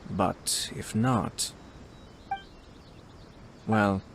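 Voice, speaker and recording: An actor playing a composed, shrewd young nobleman in a video game, speaking in a formal, measured and polite manner. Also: on the low side, a little hiss or hum. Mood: calm, deliberate. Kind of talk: video game character acting.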